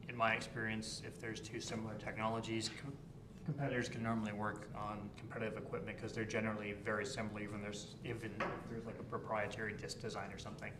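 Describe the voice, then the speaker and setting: Flat-voiced, low man councillor. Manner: measured, reasoning step by step. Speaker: town councillor. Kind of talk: council meeting debate. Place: echoey council chamber. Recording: echoey room with background noise.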